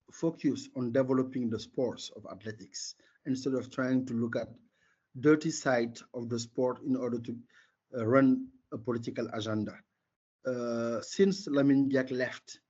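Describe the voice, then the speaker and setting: An older man from Senegal, a native Wolof speaker with a Senegalese accent, giving emphatic, opinionated interview answers in a husky voice and repeating words for stress. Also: medium pitch, darkish tone. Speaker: interview guest, former sports marketing executive. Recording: dull, lo-fi call audio.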